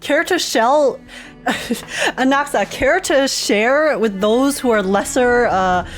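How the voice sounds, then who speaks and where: A woman's voice: fairly high pitch, animated, slow, faint music behind. A woman game streamer giving casual live reactions in a giggly, teasing way.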